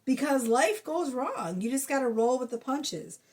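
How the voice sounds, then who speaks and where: A woman giving a casual tarot reading to her viewers, blunt and profane in her chatty way, talking through a sore, slightly hoarse throat. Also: high voice, lively intonation, measured pace, darkish tone, a little hiss or hum. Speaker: tarot reader.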